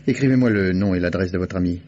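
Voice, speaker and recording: Actor as a low-voiced, insistent amateur investigator. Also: male voice, quick pace, mellow, close to an everyday voice. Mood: conceding, bargaining. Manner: probing, polite but pressing. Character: insistent, urbane man investigating to save a condemned man. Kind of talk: vintage French radio drama acting. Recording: dull, noisy old radio recording.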